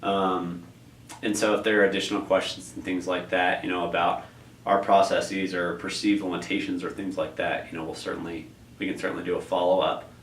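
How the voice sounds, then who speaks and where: Man, low voice, unhurried explainer full of fillers; roomy, distant recording with faint hiss. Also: flat delivery. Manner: rambling, many fillers and restarts. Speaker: tutoring program coordinator. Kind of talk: explaining a process in an interview. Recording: echoey room, distant mic, faint noise.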